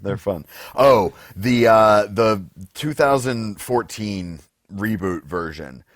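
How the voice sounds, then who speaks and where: A man co-hosts a podcast in a somewhat low, hoarse voice. He talks casually and at length, hesitating and working out his thoughts aloud. The sound is close and dry, with no room around him. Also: takes it slowly, dry recording. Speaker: podcast co-host. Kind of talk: casual unscripted chat about game shows.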